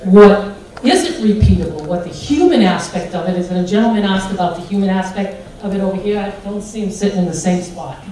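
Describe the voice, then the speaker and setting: American lady speaker, big joking voice, mellow tone, echoey far-off hall sound. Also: medium pitch, a little fast. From United States. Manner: big, animated, joking delivery. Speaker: conference speaker. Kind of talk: lively conference talk. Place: large hotel conference hall.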